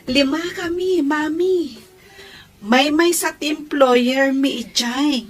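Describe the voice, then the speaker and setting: A woman playing a high, shy young daughter. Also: slow pace, faint music behind. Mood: bashful. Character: shy young daughter going abroad to work.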